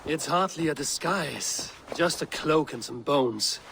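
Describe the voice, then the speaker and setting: An actor playing a bold young Viking warrior, wry and teasing, in video game dialogue. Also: male voice, on the high side, lively intonation, unhurried, vocal fry, background noise.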